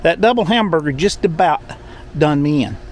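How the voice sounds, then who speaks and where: A man with a drawl chats to viewers as he drives through the mountains. His voice sits a touch high, and his manner is folksy and easygoing. A faint hum of car noise runs underneath. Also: animated, normal speed. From Appalachian Kentucky. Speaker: travel vlogger. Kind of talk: casual travel vlog talk. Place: in a car and a country store.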